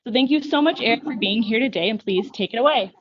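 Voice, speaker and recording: A young woman moderating a webinar, reading a formal introduction clearly and steadily over a video call. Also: fairly high pitch, quick pace, a little hiss or hum. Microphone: video call microphone. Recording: slightly dull lo-fi online call audio.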